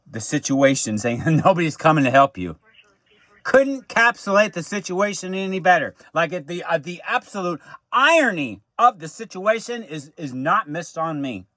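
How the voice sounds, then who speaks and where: An American man giving an unscripted personal monologue in a halting, repetitive way, with stammered restarts and emphatic drawn-out phrases. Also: a little high, very animated, fairly bright tone, no room sound. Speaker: vlogger recounting personal grievances.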